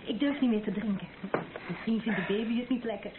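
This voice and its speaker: High-voiced caring young wife played by an actress. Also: female voice, quick pace, natural voice. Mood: teasing, cheerful. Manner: gently teasing, fussing, affectionate. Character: caring pregnant young wife who works as a nurse. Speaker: radio drama actress. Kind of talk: naturalistic radio play dialogue. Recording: tinny, noisy old radio play recording.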